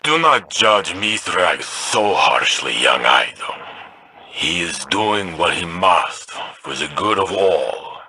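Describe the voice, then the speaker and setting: An actor playing an ancient, wise male alien elder in a video game, husky and solemn in manner. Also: low voice, sing-song, sharp tone, full character voice, rough recording. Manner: slow, solemn, counseling. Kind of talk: video game character dialogue.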